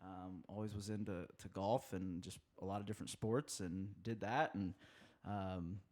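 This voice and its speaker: A man's voice: low voice, steady tone, moderate pace, a little mellow. A man in his thirties, a podcast guest chatting casually, rambling with many fillers and restarts. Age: 30s. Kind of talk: casual conversation.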